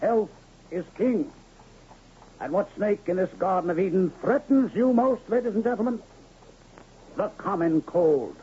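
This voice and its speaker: A dull, hissy radio drama recording of a traveling medicine man. He pitches in a high voice with pompous, theatrical flourish. He is showy, flattering and wordy. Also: unhurried, long pauses. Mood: showy, persuasive. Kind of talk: 1970s radio drama acting.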